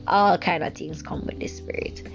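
A woman's voice: lowish voice, steady tone, moderate pace. A woman with a Jamaican accent giving an earnest, rambling devotional talk, full of fillers and restarts. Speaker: devotional speaker. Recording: dull lo-fi recording with music behind.

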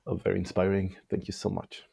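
A man's voice: deep voice, completely flat delivery, fast talker, gravelly, warm tone, breathy edge, dry recording, studio quality. A young man from Switzerland, a podcast guest giving earnest opinions and stressing words like "absolutely". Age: young adult. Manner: emphatic, repeats "absolutely".

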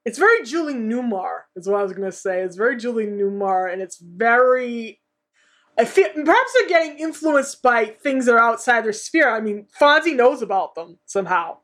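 Woman cohost on a podcast, lively up and down voice, says yeah a lot. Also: a little high, normal speed. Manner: lots of affirming "yeah"s. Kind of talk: casual chat.

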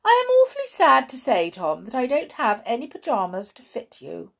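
Cruel, swooping, high-pitched hospital matron. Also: female voice, huge pitch swings, moderate pace, vocal fry, full character voice. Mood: smug, snapping. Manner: theatrically scornful, sarcastic, snapping. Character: cruel, sneering hospital matron, mocking and bossy.

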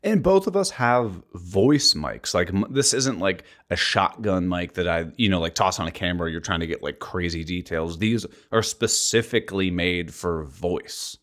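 A man with a very low voice talks casually as a podcast co-host, rambling and leaning heavily on "like". He sits close to a voice mic clamped a couple inches from his mouth in an apartment, so the sound is near and intimate. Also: lively intonation, normal speed, few pauses, a bit bright. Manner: rambling, heavy use of "like".

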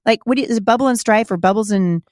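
A woman's voice: a little high, racing, darkish tone, no room sound, clean studio sound. Upbeat middle-aged woman hosting a podcast. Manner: upbeat, encouraging, chatty. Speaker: podcast host.

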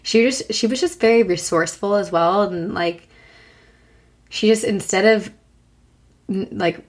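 A woman co-hosting a podcast in casual chat, hoarse from a long cough and talking with many fillers and restarts. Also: medium pitch, a little slow. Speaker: podcast co-host.

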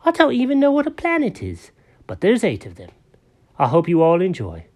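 A woman's voice: fairly low pitch, huge pitch swings, a little fast, darkish tone, pitched above the performer's own voice. A woman voicing a naive, greedy male kitty cat who is obsessed with eating fish. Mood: proud. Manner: eager, wide-eyed and fish-obsessed.

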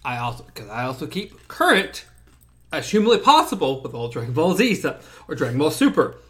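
Emphatic man with a lively voice. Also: a little high, fairly quick. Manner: emphatic, insistent opinions. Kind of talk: casual chat about anime.

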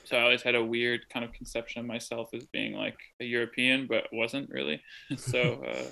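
Midwest guy in his twenties or so, gravelly creaky voice, bright, lots of ums and restarts. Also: mid-range voice, little pitch movement, a little fast, low-quality recording. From Midwest of the United States. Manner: thoughtful, rambling with many ums and restarts. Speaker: interview guest, translator. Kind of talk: casual conversational interview. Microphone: remote call from home.

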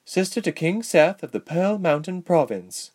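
Man acting a young princess, raised pitch, impatient, earnest, emphatic, fantasy audiobook character. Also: very animated, normal speed, darkish tone, husky, full character voice. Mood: brisk. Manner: exasperated, emphatic.

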